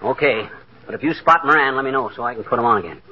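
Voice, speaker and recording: An actor playing a wisecracking, grumbling American husband with folksy, slangy bluster, in a 1940s radio comedy. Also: male voice, mid-range voice, fast talker, natural voice. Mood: breezy. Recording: noisy, dull vintage 1940s radio recording.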